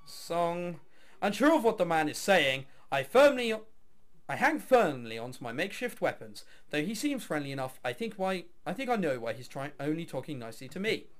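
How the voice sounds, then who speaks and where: Male let's player, high voice, very lively intonation, dramatic story reading with wry commentary, music behind. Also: normal speed. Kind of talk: reading game text aloud with casual commentary.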